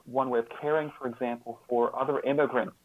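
Male interview guest, mid-pitched, thoughtful and halting delivery, narrow muffled phone-style sound. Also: steady tone, no room sound. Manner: halting, earnest, repeats words as he thinks. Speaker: guest author and social scientist. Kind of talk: reflective interview talk.

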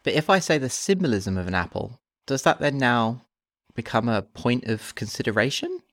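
A man hosting a conversation, calmly guiding his guest through imaginative exercises with slow, listed prompts. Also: medium pitch, no room sound, studio quality. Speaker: host.

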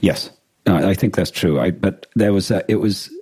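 A man with a very low, noticeably rough-edged voice, recorded close and dry. He talks as a podcast co-host in casual discussion, feeling his way through thoughts and often rephrasing mid-sentence. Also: normal speed, a little mellow, no room sound. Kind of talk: casual discussion of a radio drama and war.

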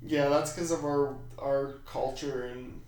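A raspy-voiced man chatting casually as a podcast host, in an irreverent, profane way. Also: medium pitch, steady tone, slow, a little mellow, a little hiss or hum. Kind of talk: casual chat. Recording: very echoey room.

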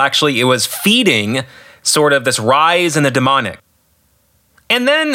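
An American man speaks as an author and podcast host in an explanatory style. His voice is bright and forceful, sitting a little high. He phrases things emphatically and deliberately, with very animated intonation. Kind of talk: explanatory solo podcast commentary.

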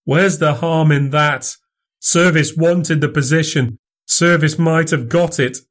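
A man's voice: a little high, steady tone, slow pace, a bit bright, voice pushed up. A frightened young student cries out in anguish, pleading and anxious, as a male reader puts him on. His voice rises above the reader's usual tone. He sounds cornered and desperate.

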